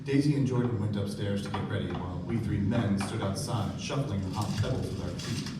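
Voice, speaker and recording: A man playing a reflective storyteller, giving measured literary narration in a radio play. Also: lowish voice, lightly acted. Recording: echoey stage from far off, clear noise.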